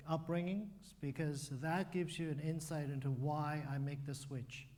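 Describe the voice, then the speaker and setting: A man, a doctor giving a relaxed, conversational talk at a church, with fillers and restarts. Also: a little high. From Hong Kong. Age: 60s. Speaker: guest speaker, physician.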